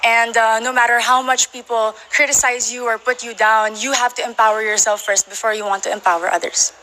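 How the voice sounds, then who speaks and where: A woman's voice: a little high, steady tone, a little fast, pushed and bright, a little hiss or hum, rough recording. A woman from the Philippines answering pageant interview questions in a poised, polite manner. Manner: poised, polite, adds Filipino po. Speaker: pageant candidate.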